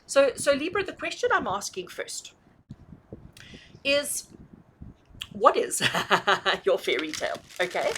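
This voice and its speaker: Woman tarot host, animated and fairly high, emphatic and conversational, slight background noise. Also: slow pace, pauses often, rough recording. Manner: emphatic, repeats and rephrases points, often checks in with rhetorical questions. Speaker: tarot reader hosting a reading. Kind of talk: conversational, intuitive tarot reading addressed to viewers.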